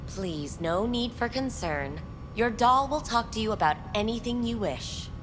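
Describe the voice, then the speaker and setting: Polished, animated club receptionist, noisy rumble behind. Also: female voice, measured pace. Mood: polished, reassuring. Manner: formal, smooth customer-service patter. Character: polished, unflappable female receptionist selling a futuristic club's services. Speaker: receptionist at a futuristic pleasure club. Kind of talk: scripted video game acting. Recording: clearly noisy rumbling background.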